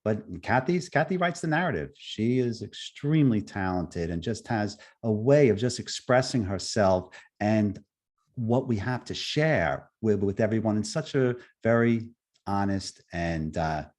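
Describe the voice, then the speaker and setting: A middle-aged man from Long Island with a Long Island accent, a guest speaker telling his own story haltingly, with many restarts and fillers. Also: fairly low pitch, lively intonation, darkish tone. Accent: Long Island New York. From Long Island, New York. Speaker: guest speaker, near-death experiencer. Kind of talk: personal storytelling.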